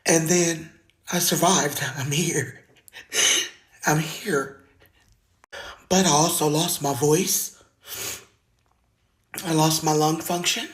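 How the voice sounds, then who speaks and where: Raspy low-voiced woman with a slight lisp, earnest first-person testimony into a phone. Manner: earnest, heartfelt, plain-spoken. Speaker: singer sharing her story. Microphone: phone video.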